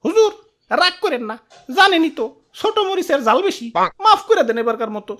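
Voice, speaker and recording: A deposed chili king turned minister acted by a woman, cheeky and quick to answer back. The cartoon voice is high-pitched, in a Dhaka dialect, over faint music. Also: big pitch swings, fairly bright tone, full character voice. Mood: placating. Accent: Bangladeshi, Dhaka dialect. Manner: cheeky, argumentative. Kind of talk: comic cartoon voice acting.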